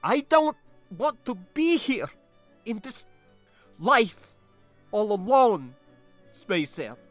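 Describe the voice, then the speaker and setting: A man's voice: high-pitched, big pitch swings, measured pace, sharp tone, soft background music, pitched above the performer's own voice, heavily acted. A man voicing a proud, fiery young woman, scornful and anxious, with formal, clipped phrasing in an audiobook story. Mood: pleading.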